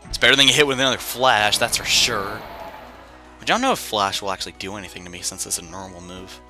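Young man, low voice, rambling gameplay chatter, fillers, game music behind. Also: steady tone, fast talker. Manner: rambling, chatty, self-deprecating, frequent fillers. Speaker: let's play commentator. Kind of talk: casual gameplay commentary.

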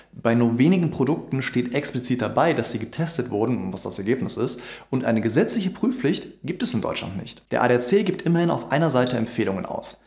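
A veterinarian in his thirties talks straight to camera in a scripted explainer, earnest and emphatic. His voice sits at an ordinary mid pitch. The room gives it a noticeable echo. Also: a little mellow. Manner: earnest, emphatic, gestures with hands. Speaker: veterinarian YouTuber. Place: dim home studio with hanging bulbs. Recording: roomy echo.

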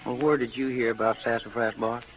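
A man's voice: mid-range voice, little pitch movement, brisk, close to an everyday voice. A man playing a laconic, polite gunman and card player with a folksy Western American drawl in an old radio western. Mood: gentle, courteous. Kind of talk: 1940s-50s radio western acting. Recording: tinny old radio recording, faint noise.

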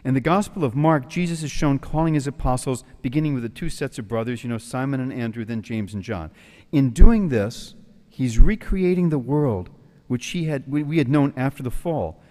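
An American priest in his sixties from New York City, giving an animated retreat talk at a lectern in a large hall. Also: mid-range voice, normal speed. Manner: animated, gestures with both hands, tells jokes. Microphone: stand mic at the lectern. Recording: long hall reverb.

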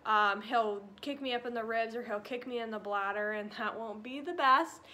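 A woman's voice: on the high side, normal speed, bright, forceful tone. A young woman in her twenties giving a casual pregnancy update with lots of fillers, a little short of breath, filmed in a living room. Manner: chatty, many fillers and likes. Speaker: vlogger. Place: living room by a stone fireplace.

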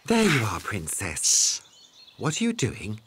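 An actor playing a gently curious storyteller narrating a preschool cartoon. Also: male voice, lowish voice, huge pitch swings, slow, background noise, exaggerated voice. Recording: music behind the voice.

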